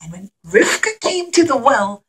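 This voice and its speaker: A woman with a high voice leads a puppet show for children. She narrates in simple phrases with strong emphasis. She speaks to her young listeners as an encouraging teacher. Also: big pitch swings, normal speed, a bit bright, slightly rough.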